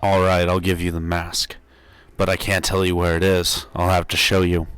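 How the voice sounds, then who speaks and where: Guy as sly calm cartoon captive, deep smooth voice, flat dry delivery. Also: moderate pace, dry recording. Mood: dry, stalling. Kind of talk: fan dub animation acting.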